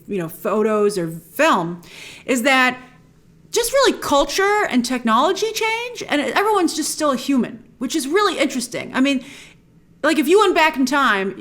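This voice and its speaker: A woman hosts an entertainment news show with enthusiastic, informal commentary. Her voice sits fairly high and swings in pitch in an animated way. She is chatty and leans hard on key words. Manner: excitable, emphatic, chatty.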